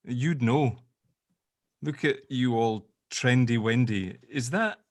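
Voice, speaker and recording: A man's voice: mid-range voice, slow, no room sound, clean studio sound, natural voice. A man playing an affectionate, awkward long-lost father, teasing and flustered, in a drama table read.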